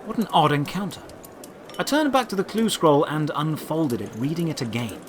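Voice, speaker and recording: A man's voice: on the high side, lively intonation, moderate pace, background noise. A man narrating a scripted first-person adventure story, with outdoor ambience behind him. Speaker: narrator and creator of an audio drama.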